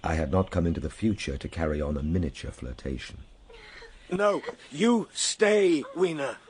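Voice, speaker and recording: An actor playing an earnest, reflective gentleman time traveller in a radio drama, moving between measured storytelling and simple, tender coaxing. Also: low voice, big pitch swings, breathy edge, exaggerated voice. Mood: coaxing, firm. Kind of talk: radio drama narration and acting.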